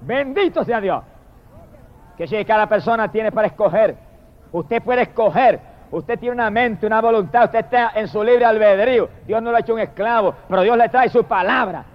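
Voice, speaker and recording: High-pitched man preaching fervently, muffled recording. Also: normal speed. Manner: emphatic and declamatory, drawing out exalted phrases, addressing listeners directly. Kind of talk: fervent evangelical sermon. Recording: dull lo-fi sermon recording.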